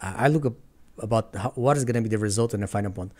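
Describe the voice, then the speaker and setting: A man's voice: on the low side, racing, darkish tone. A man from Brazil with a Brazilian Portuguese accent, a guest in a casual interview, talking earnestly in English with frequent restarts.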